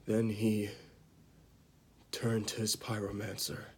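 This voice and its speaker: A man playing a bitter disgraced knight confessing to killing a mad king, in a halting, dramatic amateur monologue that drops into whispers. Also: lowish voice, completely flat delivery, slightly rough, full character voice. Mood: grave, confessional.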